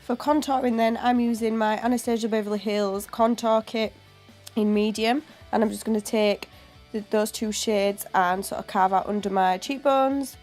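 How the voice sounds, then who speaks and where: A woman's voice: fairly high pitch, fairly even intonation, moderate pace, a little mellow, soft background music. A young woman with a cold, chesty voice, casually narrating a makeup tutorial voiceover. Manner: casual, chatty, step-by-step explaining. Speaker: beauty vlogger. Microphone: home voiceover mic.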